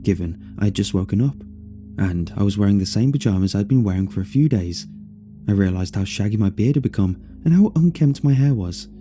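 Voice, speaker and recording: A man's voice: fairly low pitch, lively intonation, fairly quick, dark tone, faint music behind. A man reading a scripted first-person horror story as its narrator.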